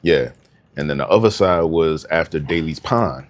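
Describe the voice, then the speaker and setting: A man's voice: very deep voice, animated, normal speed. A man from New Jersey co-hosting a podcast, telling stories in casual chat with laid-back slang. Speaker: podcast co-host.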